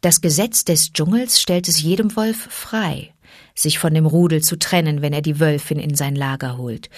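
A calm, careful father wolf from a storybook, speaking in measured, explaining tones, as a woman reading aloud gives him voice. The voice is low for a woman and dry. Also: no room sound.

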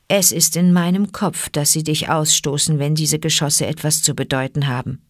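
A woman's voice: sits low, fairly even intonation, no echo. Lady reading a chill wise old wolf pal in a storybook, composed, very dry sound. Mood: calm, wry. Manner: unhurried, composed. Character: calm, wise male wolf companion.

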